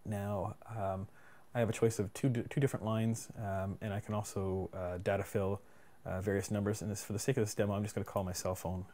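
A man presenting a casual screencast software tutorial in an informal, plain-spoken and somewhat hesitant way. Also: on the low side, level tone, fairly quick. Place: indoors at a computer. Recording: clear background room noise.

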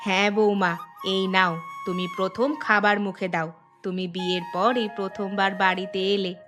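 A woman's voice: medium pitch, little pitch movement, moderate pace, music in the background, close to an everyday voice. A woman voicing an older, caring but bossy mother-in-law in a village cartoon, coaxing and ordering others to eat. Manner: coaxing, commanding. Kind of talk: cartoon voice acting.

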